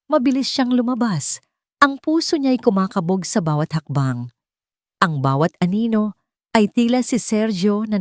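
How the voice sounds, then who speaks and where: A woman's voice: on the low side, no room sound, studio quality. A woman with a Filipino accent telling a scripted story as a dramatic narrator.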